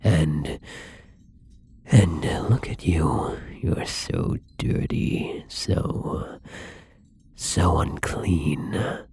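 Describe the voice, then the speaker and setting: Apologetic, hungry young vampire for ASMR roleplay, played by a man: gravelly, slow-paced, soft and hesitant, slipping into whispers. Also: a bass voice, full character voice. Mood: entranced, hungry. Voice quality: husky, breathy. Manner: soft, hesitant, breathy, often dropping to whispers. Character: newly turned young male vampire, apologetic, timid, hungry. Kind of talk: intimate ASMR roleplay acting.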